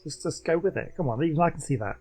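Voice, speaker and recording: A man's voice: fairly high pitch, animated, fast, darkish tone, a little hiss or hum. A man hosting a gaming channel, chatting casually over gameplay in a hesitant, rambling way. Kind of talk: casual let's play commentary.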